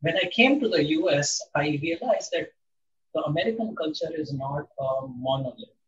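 A man's voice: on the high side, lively intonation, a bit bright, far from the mic, dull audio, a little hiss or hum. Reflective older man from India. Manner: measured, reflective, with frequent ums. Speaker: interviewee. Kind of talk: interview conversation.

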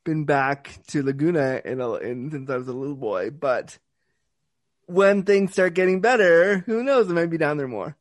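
A man hosting an interview, talking in an animated, chatty way with frequent restarts. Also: a little high, moderate pace, dry recording, studio quality. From Canada. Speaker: interview host. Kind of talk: casual conversational interview.

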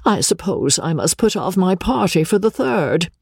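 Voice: medium pitch, sing-song, normal speed, creaky, slightly rough, dry recording, clean studio sound, pitched above the performer's own voice, full character voice. A woman voicing an imperious, self-centred older spinster with a languid, haughty manner, in an audiobook. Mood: dry, peevish.

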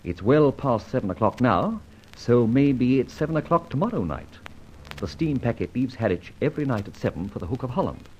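An actor playing a suave, flippant gentleman adventurer in an old-time radio serial, with breezy old-fashioned slang. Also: mid-range voice, sing-song, brisk, lightly acted. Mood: confident, scheming. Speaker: narrator and lead actor. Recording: muffled vintage radio recording, faint noise.

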